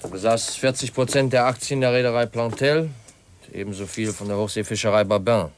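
A pensive young man voiced by an actor in a 1950s German radio play. He talks in a measured, reserved, brooding way, with faint noise on the old recording. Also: on the low side, close to an everyday voice. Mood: measured, factual. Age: young adult. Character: pensive, reserved young heir, newly arrived in town.

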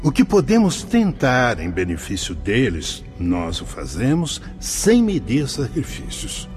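A man's voice: mid-range voice, huge pitch swings, a little mellow. An actor in a radio drama playing a kindly, solemn spirit mentor who speaks in a formal, fatherly, preaching way. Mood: solemn, explanatory. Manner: measured, paternal, preacherly phrasing. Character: kindly solemn spirit mentor, brother Anselmo, paternal guide. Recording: music behind the voice.

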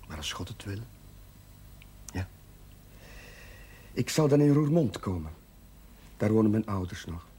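A man playing a hesitant, low-voiced chaplain. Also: warm tone, natural voice. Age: late 30s. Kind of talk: naturalistic television drama acting. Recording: 1970s television audio with background noise.

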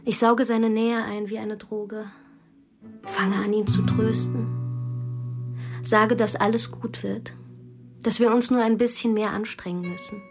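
A woman's voice: medium pitch, steady tone, a little slow, pauses often, faint music behind, natural voice. Actress as troubled woman reading her intimate diary. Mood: numb, intimate. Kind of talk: radio drama monologue and dialogue.

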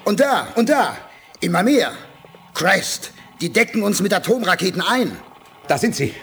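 An actor in a 1980s German radio play playing an excitable, blunt spaceman, delivered with emphatic, exclamatory emotion. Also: high-pitched, normal speed, slight background noise, exaggerated voice. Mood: alarmed. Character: excitable, impulsive male spaceman, loyal and blunt.

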